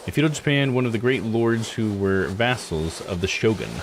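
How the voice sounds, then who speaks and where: A man's voice: fairly low pitch, expressive, normal speed, noisy background, natural voice. A man voicing an eager, proud guide character while reading a visual novel aloud. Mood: bright, informative.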